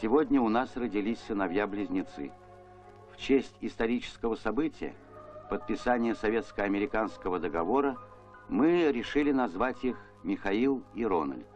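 A man's voice: lowish voice, animated, normal speed. A man delivering scripted documentary narration on an old film soundtrack. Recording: dull vintage film soundtrack with music. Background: music.

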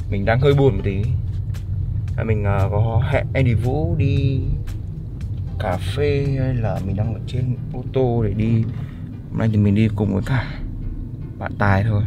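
Casual young man vlogging. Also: fairly low pitch. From northern Vietnam. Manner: chatty, upbeat, filler-heavy. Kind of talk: casual vlog chat. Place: barbershop and car at night.

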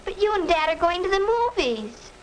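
An actress playing a pert, put-upon American teenage daughter in a 1940s educational film, with an earnest, slightly stagey delivery. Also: female voice, extremely high pitch, very animated, moderate pace. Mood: complaining. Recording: noisy, crackly 1940s film soundtrack.

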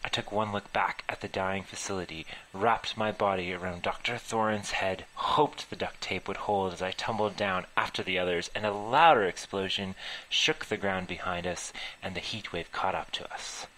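A man's voice: sits low, normal speed, bright, forceful tone, poor audio. A man reading his own story as an amateur narrator, stumbling over words now and then.

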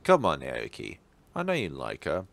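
A man's voice: a bass voice, huge pitch swings, moderate pace, creaky, a bit bright, no room sound, voice pushed down, heavily acted. A goofy, teasing teenage schoolboy, voiced by a man for a visual novel. His sing-song delivery swings widely up and down. He stays breezy and playful.

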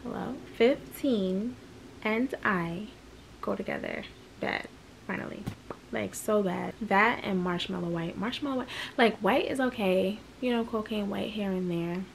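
A woman's voice: mid-range voice, a little slow, gravelly, slight background noise, rough recording. Chatty young woman vlogging in her bedroom. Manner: chatty, candid, rambling with fillers. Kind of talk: casual vlog talk to camera. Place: small bedroom indoors. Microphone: camera close at arm's length.

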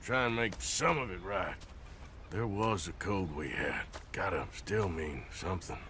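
An actor playing a gruff, resigned male outlaw in a video game. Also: sits low, animated, measured pace, sharp tone, slight background noise, heavily acted. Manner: drawling, terse and gruff. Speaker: outlaw gang member.

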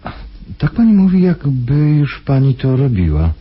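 An actor playing a scheming, condescending kidnapper in a radio play, with a rough, husky voice and sardonic, urbane delivery. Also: male voice, medium pitch, very animated, moderate pace. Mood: uneasy, intrigued. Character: scheming, condescending male kidnapper posing as employer. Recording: muffled old radio play recording.

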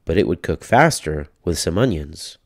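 A man's voice: low-pitched, very animated, normal speed, no echo, clean studio sound, heavily acted. A man voicing a hungry young man in a storybook, a clever trickster with an upbeat, persuasive manner. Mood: coaxing.

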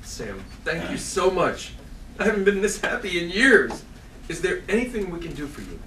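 A man in a comedy table read playing an excitable, warm-hearted coffee shop owner. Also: sits high, normal speed, exaggerated voice. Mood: relieved. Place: small theatre stage, seated before an audience. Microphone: far camera. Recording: roomy distant stage recording, some noise.

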